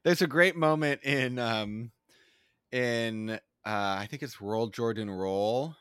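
A man's voice: mid-range voice, slow, a bit bright, clear, resonant tone, no echo. Hesitant man, dry close sound. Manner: hesitant, searching for words, repeats himself. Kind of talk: casual discussion.

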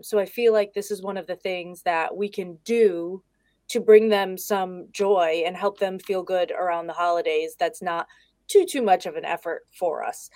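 A woman's voice: on the low side, darkish tone, dry recording. A woman speaking as a guest expert on a video call, casual, candid and chatty. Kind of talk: casual conversation.

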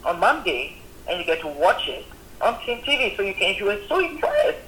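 A woman with a Cameroonian accent, a magazine founder speaking as a guest on a radio interview, expressive and quick to laugh. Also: mid-range voice, reverberant, poor audio. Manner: expressive, laughing often, acts out quoted voices. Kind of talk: animated radio interview talk.

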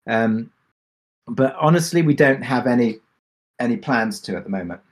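A man's voice: mid-range voice, lively intonation, normal speed. A man answering casually and reflectively as a drama creator on a panel, hesitant and thinking aloud.

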